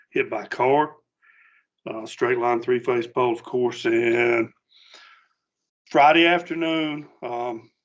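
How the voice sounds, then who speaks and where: A man's voice: medium pitch, a bit bright. Southern US safety trainer, male, muffled recording. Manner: halting, plain-spoken, earnest. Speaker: safety trainer for utility line workers. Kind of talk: informal spoken safety briefing.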